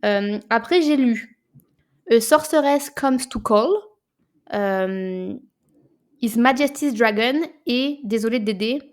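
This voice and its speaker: A woman, co-hosting a casual book podcast, animated and often repeating words as she searches for them. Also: fairly high pitch, takes it slowly. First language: French. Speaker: podcast co-host.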